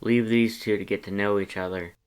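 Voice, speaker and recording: A man's voice: fairly low pitch, steady tone, a little fast, clean tone, close to an everyday voice. A man voicing a laid-back, teasing male skeleton with a wry, casual drawl in a story reading. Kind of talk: storybook character dialogue.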